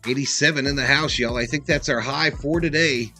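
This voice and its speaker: A man hosts a casual football livestream with a folksy, informal delivery. His voice sits at a middling pitch, with music faintly behind it. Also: brisk, pushed and bright. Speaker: sports livestream host. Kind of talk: casual play-by-play commentary and fan chat.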